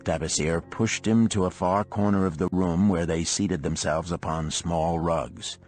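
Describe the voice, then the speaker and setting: A man's voice: low voice, fairly even intonation, unhurried, a little mellow, faint music behind. A man reading a parable aloud as scripted audiobook narration.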